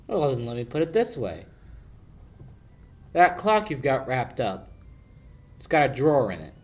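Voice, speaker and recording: A man's voice: mid-range voice, sing-song, unhurried. A young male amateur actor playing a cocky, wisecracking sleuth with a confident, needling manner in a 1940s radio drama style. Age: young adult. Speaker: amateur high school actor.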